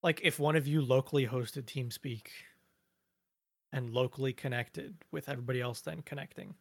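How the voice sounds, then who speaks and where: A man's voice: mid-range voice, steady tone, normal speed, dry recording, clean studio sound. A man co-hosting a podcast, chatting casually, rambling and trailing off mid-sentence. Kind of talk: casual chat. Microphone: studio mic.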